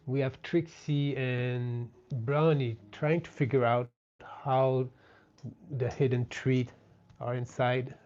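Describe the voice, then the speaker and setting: A man with a French Canadian accent, a native French speaker, chatting gently and casually as he hosts a live craft stream. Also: mid-range voice, level tone, slow pace. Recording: dull, lo-fi sound.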